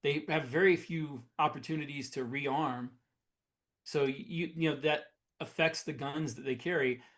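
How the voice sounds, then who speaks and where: A man's voice: fairly high pitch, steady tone, unhurried, a bit bright. A man co-hosting a podcast, explaining his opinions in casual conversation. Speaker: podcast co-host.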